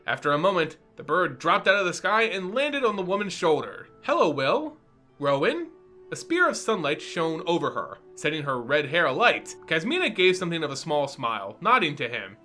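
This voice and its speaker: A man hosting and narrating a fantasy story in a theatrical, expressive scripted reading. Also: fairly high pitch, a little fast, hardly any pauses. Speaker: host and storyteller. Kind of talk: dramatic scripted narration with channel intro. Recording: music under the voice. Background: background music.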